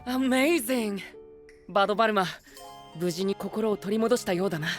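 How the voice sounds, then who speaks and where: An actor playing a composed, courteous young gentleman with a slightly grand manner in an anime voice drama. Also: sits high, brisk, soft background music, lightly acted. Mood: relieved, kindly. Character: gallant, composed young gentleman sprinkling English words.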